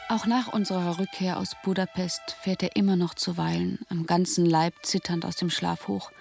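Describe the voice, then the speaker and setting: A devoted young wife in a radio play, narrating a letter. She reads with tender care in a slightly low, creaky voice. Faint music sits behind her. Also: little pitch movement, darkish tone. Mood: tender, wakeful. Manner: tender, measured reading. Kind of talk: scripted letter narration in German radio drama.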